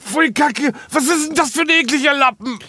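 Guy doing a squawky indignant roofer buddy, comedy radio play, super high pitched. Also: fairly quick, bright, forceful tone, dry recording. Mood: disgusted, indignant. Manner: exclaiming, indignant. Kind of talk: comic radio play acting.

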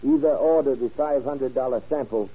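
An actor playing a shrewd, impatient male drug buyer in an old radio crime drama, speaking in formal, deliberate, clipped phrases. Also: mid-range voice, slightly rough, close to an everyday voice. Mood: firm, businesslike. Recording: muffled old-time radio recording.

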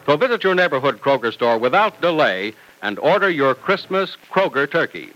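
A man's voice: fairly high pitch, big pitch swings, moderate pace, a bit bright, muffled recording. A man announcing in polished, emphatic 1940s radio style, with scripted narration and a salesman's delivery.

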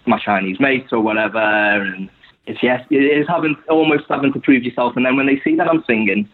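A man's voice: medium pitch, fairly quick. A young adult man with a Scouse accent from Liverpool, talking casually as a guest and rambling with lots of "you know" fillers. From Liverpool, England. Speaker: guest supporter. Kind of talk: casual podcast conversation. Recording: tinny phone-like line.

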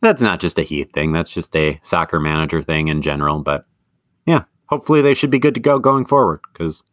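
A man co-hosting a sports podcast, talking in an easy chatty way and quick to laugh at himself. His voice sits a little low. The recording is dry and close, with no room sound. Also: expressive, a bit bright, dry recording. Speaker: podcast co-host. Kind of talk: casual sports podcast chat.

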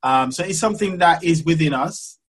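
A man's voice: on the high side, breathy edge. Hesitant Jamaican-accented man. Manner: hesitant, many "um" pauses, laughs easily. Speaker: guest florist. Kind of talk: casual interview chat.

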